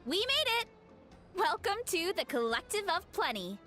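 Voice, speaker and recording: A woman's voice: very high voice, big pitch swings, normal speed, over loud music. A woman playing a sporty, upbeat, confident young female warrior in an English video game. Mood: friendly, proud.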